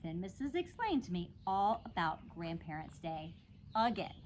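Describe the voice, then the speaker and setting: A woman's voice: lowish voice, sing-song, moderate pace, slight background noise. A woman reading a children's book aloud as a storytime reader.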